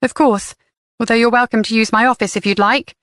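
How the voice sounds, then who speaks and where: A woman's voice: on the high side, fairly even intonation, a little fast, a bit bright, no echo, studio quality, lightly acted. A woman voicing a polite, helpful female head teacher.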